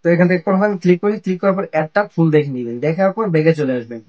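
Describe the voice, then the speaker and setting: A man's voice: fairly high pitch, lively intonation, racing, dull audio. A man narrating an app tutorial step by step, in a repetitive, instructional way. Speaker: tech tutorial host.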